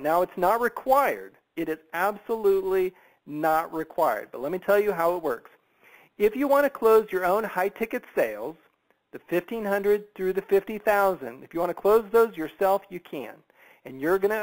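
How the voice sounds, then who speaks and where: A man with a notably high voice delivers an enthusiastic sales presentation. He is emphatic and persuasive, yet keeps it conversational, checking in with rhetorical questions as he goes. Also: rough recording. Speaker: sales presenter on a business opportunity conference call.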